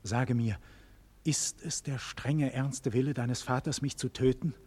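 A slow, husky, doomed nobleman. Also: medium pitch, fairly even intonation. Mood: urgent, pleading. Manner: solemn, declamatory, swinging from hushed breathy murmurs to loud cries. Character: doomed nobleman facing execution, dignified, passionate, grave. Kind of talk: 1940s German radio play acting, classical stage declamation. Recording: vintage 1940s radio studio recording.

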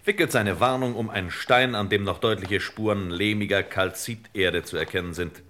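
An actor playing a shrewd, dry detective boss with clipped, swaggering deadpan delivery in an old crime radio play. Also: male voice, lowish voice, normal speed. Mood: dry, knowing. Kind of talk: old German radio crime parody acting. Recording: noisy vintage radio play recording.

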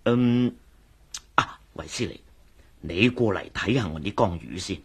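A man with a Hong Kong Cantonese accent playing a chatty, excitable doctor who explains things eagerly in a radio drama. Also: low-pitched, measured pace, breathy edge, heavily acted. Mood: coaxing, animated. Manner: chuckling, explanatory, animated. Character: male doctor, chatty, excitable, fond of explaining his pet fish. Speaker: voice actor.

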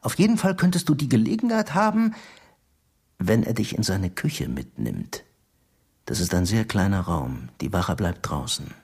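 An actor playing a scheming Soviet secret police chief in a German radio drama, coaxing and menacing in an insinuating way. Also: male voice, fairly low pitch, very animated, moderate pace, vocal fry, darkish tone, husky, full character voice.

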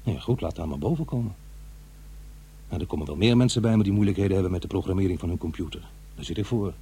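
An actor playing an uneasy, defensive middle-aged divorced father in a radio drama, confiding in a halting, conversational way. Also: low voice, warm tone, breathy edge. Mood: agitated, rueful. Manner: halting, hesitant, self-interrupting. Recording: faint noise and hum.

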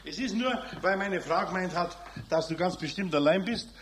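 A man's voice: high-pitched, moderate pace, lightly acted. An actor playing a friendly, generous craftsman with a Bavarian accent, talking sociably and familiarly in a radio play. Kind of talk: German children's radio play acting. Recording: noisy 1970s radio play recording.

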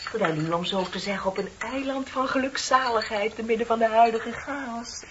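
An actress playing a chatty, flattering office secretary in her thirties in a radio play, with a polite, effusive manner. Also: fairly high pitch, normal speed, natural voice. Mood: indignant. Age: 30s. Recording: dull, noisy old radio recording.

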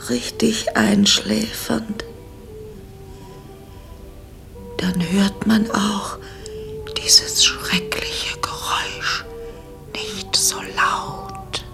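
Slow-speaking, practical mother. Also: on the high side, wildly swooping pitch, vocal fry, a bit bright, faint music behind, exaggerated voice. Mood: drowsy. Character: practical, dismissive middle-aged mother, insisting on order. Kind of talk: German radio play acting.

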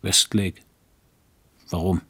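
A man plays a good-natured, plodding small-town doctor who rambles on and grumbles mildly. His voice is low and husky. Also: flat delivery, takes it slowly, sharp tone, exaggerated voice. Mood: gossipy scoffing. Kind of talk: audiobook reading.

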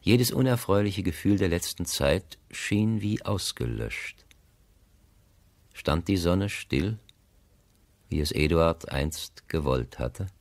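A man narrating a literary radio play as a solemn, measured storyteller. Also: deep voice, expressive, no echo, exaggerated voice. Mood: solemn, reflective. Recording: dry studio sound.

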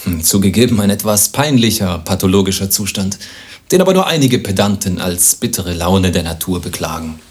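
An actor playing a pompous, absurdly learned doctor in a satirical radio play, pedantic and deadpan. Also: male voice, low-pitched, a little fast, slight background noise. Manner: pedantic, deadpan, Latin-laced pronouncements.

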